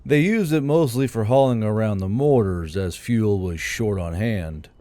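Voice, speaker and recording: A man reading scripted fiction as an audiobook narrator, in a measured storytelling manner. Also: lowish voice, dark tone, no room sound.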